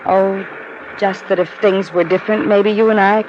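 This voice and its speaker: A woman playing an earnest, wistful young woman in love in an old-time radio drama, speaking with halting, dramatic feeling. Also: medium pitch, flat delivery, natural voice. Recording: muffled, very noisy vintage radio recording.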